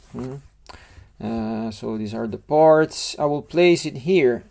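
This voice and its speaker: A man's voice: a little high, gravelly, darkish tone, a little hiss or hum. Italian man thinking aloud as he designs. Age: middle-aged. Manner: halting, restarts words while sketching. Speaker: LEGO model designer.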